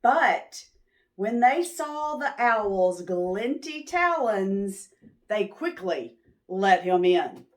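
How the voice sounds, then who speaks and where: A woman reading a picture book aloud to young children, expressively, pausing to ask them questions. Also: medium pitch. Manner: expressive, drawn-out words, teacherly prompting. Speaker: children's read-aloud storyteller.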